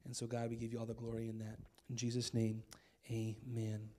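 A man in his thirties with an American accent preaching a sermon on a church stage into a handheld mic, his voice hoarse from a cold, casual and conversational with many fillers. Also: medium pitch, monotone, a little fast, dark tone, slight background noise. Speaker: pastor. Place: church stage with string lights.

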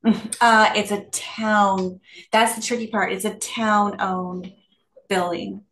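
A woman's voice: mid-range voice, steady tone, takes it slowly, a bit bright, slight background noise. A middle-aged woman, a school district administrator, asking questions on a video call and thinking aloud hesitantly. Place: office with windows and bookshelves. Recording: roomy office echo.

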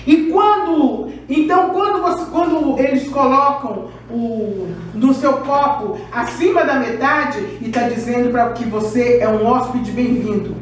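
A woman's voice: high-pitched, a little slow, few pauses, slight background noise. A middle-aged woman pastor preaching an impassioned sermon in a church room, recorded by a far camera. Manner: impassioned preaching with raised finger gestures. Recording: very echoey room, voice from afar.

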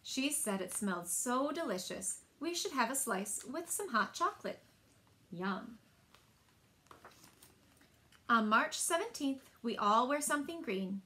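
A woman's voice: a little high, animated, normal speed, pushed and bright. A woman in her thirties presenting a children's story time and explaining things simply, recorded in a room at home a short way from the mic. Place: small indoor room at home.

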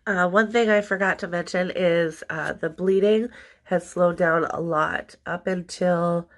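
A woman's voice: lowish voice, steady tone, unhurried, a bit bright. A woman in her thirties with a stuffy, congested nose, chatting casually to camera in a rambling personal update full of ums and likes. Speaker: vlogger. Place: small indoor room.

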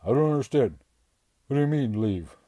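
A man voicing a dim-witted, hulking circus strong man who talks in a simple, blustering way and often shouts. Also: mid-range voice, lively intonation, moderate pace, dry recording, voice pushed up, lightly acted. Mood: wailing.

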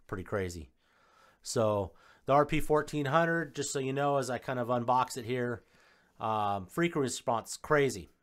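A man giving a casual, off-the-cuff unboxing and spec review, listing details in short, informal phrases. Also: mid-range voice. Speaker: home theater installer presenting a product unboxing.

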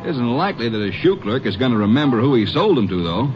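A man's voice: medium pitch, big pitch swings, fast talker, close to an everyday voice. An actor playing an easygoing, mildly skeptical American lawman sidekick, casual and laconic in a radio drama. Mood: casual, doubtful. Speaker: supporting actor. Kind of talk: 1950s radio drama acting. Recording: noisy, muffled old radio recording.

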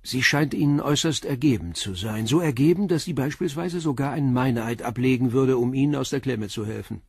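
An actor playing a calm, probing police inspector with a raspy voice and measured questions in a radio crime drama. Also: male voice, medium pitch, no echo, studio quality.

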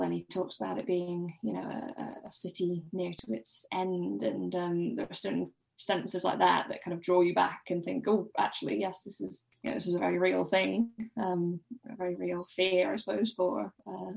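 A woman's voice: on the low side, gravelly, poor audio. A woman guest on a podcast, discussing literature casually in a hesitant, reflective way with many hedges.

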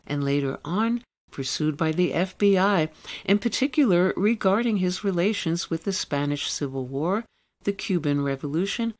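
A woman's voice: fairly low pitch, darkish tone. A woman presenting an arts radio programme, reading a scripted introduction with opinionated commentary. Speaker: radio arts show host.

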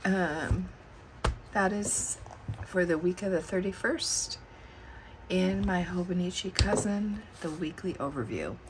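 A middle-aged woman losing her voice, chatting slowly and musing aloud as she flips through her planner at a desk. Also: on the low side, noisy background. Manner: slow and musing, thinking aloud. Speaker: planner channel host. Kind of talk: casual plan-with-me chat. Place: indoors at a desk.

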